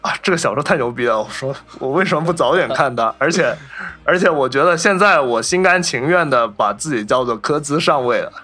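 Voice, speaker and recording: Male podcast host, very bright mid-pitched voice, casual anecdotal storyteller, music underneath. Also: lively intonation, a little fast. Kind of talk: casual film discussion, telling behind-the-scenes anecdotes.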